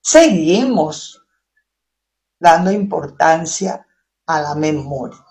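A woman's voice: deep voice, expressive, takes it slowly, darkish tone. Paraguayan woman in conversational discussion. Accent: Paraguayan Spanish. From Chaco, Paraguay. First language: Guaraní. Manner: opinionated, frequently seeks agreement with tag questions. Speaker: interviewer.

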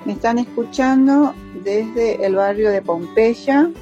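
Older woman from Paraguay, mellow. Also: fairly high pitch, slow, muffled recording, soft background music. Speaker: interviewee, migrant lawyer. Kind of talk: recorded testimony.

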